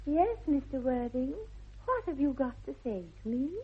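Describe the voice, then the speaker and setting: A woman's voice: sits high, animated, moderate pace, husky, full character voice. An actress playing a self-assured, romantic young English society lady in a 1960s radio comedy, with an affected, precise upper-class accent. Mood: coy, adoring. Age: young adult. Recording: dull old radio recording.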